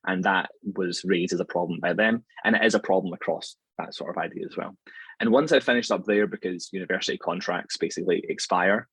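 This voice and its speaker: A man in his thirties with a Scottish accent, answering interview questions in a relaxed, rambling way with frequent ums. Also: low voice, a little fast, slightly rough, no room sound. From Scotland, near Glasgow. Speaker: anatomy lecturer, podcast guest.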